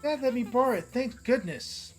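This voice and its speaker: A man's voice: extremely high pitch, lively intonation, normal speed, faint music behind, voice pushed up. A man doing a timid, girlish teenage boy. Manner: hesitant, nervous, polite.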